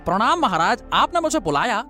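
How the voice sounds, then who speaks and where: Scheming, flattering village man, done by an actor, obsequious storybook melodrama, highly animated intonation. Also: brisk, soft background music. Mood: fawning. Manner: obsequious, theatrical, repetitive. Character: wily, flattering male villager feigning humble concern. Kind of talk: melodramatic storybook audio drama.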